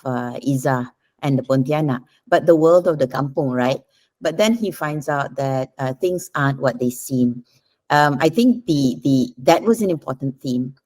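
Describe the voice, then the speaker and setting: Very low-voiced woman, conversational. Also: a little mellow. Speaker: writer, interview guest. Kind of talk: casual interview answers.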